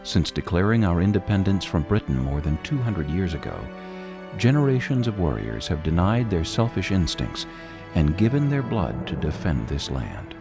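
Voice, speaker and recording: A man's voice: low-pitched, big pitch swings, moderate pace. An American man delivering scripted documentary narration in a solemn, dramatic manner. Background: music.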